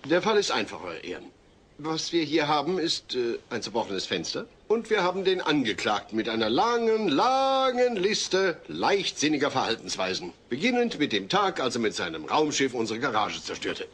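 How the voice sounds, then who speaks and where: A man's voice: mid-range voice, very animated, moderate pace, fairly bright tone, slightly rough. An actor playing a fussy, exasperated middle-aged suburban father in a German comedy audio drama, stern and precise. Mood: aggrieved, accusing. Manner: stern, long-suffering, precise.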